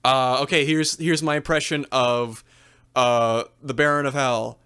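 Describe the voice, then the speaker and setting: Man doing a nervous stand-up comic, halting, stammering, mid-pitched voice, faint music behind. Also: fairly even intonation, pushed and bright, clean tone. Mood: awkward. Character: awkward stand-up comic doing monster impressions.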